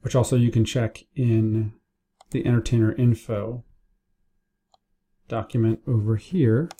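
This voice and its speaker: Very dark-voiced man giving casual tutorial. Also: mid-range voice, little pitch movement, measured pace, husky. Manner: informal, step-by-step explaining with repetition for emphasis. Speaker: business owner training staff. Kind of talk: casual screen-share tutorial narration. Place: indoors at a computer, keyboard and mouse clicks.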